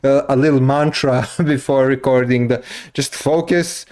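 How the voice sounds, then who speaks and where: A man's voice: mid-range voice, steady tone, normal speed, a little mellow. A man in his thirties hosting a reaction video, talking casually with lots of fillers and frequent laughs. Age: 30s. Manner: rambling, filler-heavy, laughs often. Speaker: reaction channel host.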